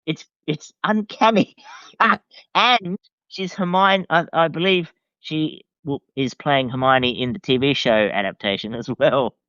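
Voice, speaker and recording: An Australian man chatting casually as a podcast guest, enthusiastic but hesitant and halting in his phrasing. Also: a little high, a bit bright, no echo, muffled recording. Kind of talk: casual chat.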